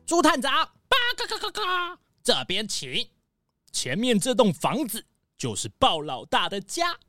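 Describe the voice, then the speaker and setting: A woman's voice: on the high side, huge pitch swings, unhurried, bright, forceful tone, dry recording, studio quality, full character voice. A woman voicing an eager, dutiful animal locksmith in a children's audio drama, stuttering and squawking between phrases.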